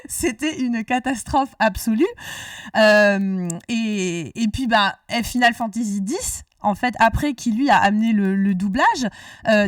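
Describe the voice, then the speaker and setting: A woman's voice: a little high, no echo, clean studio sound, recorded from a distance. A woman hosting a casual discussion, talkative and rambling, often restarting her sentences. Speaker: podcast host.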